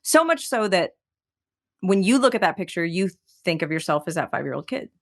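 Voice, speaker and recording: A woman from the United States, a singer-songwriter guest in a conversational interview, talking in an animated way as she searches for her words. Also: on the low side, a little fast. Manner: animated, searching for words, many fillers and restarts.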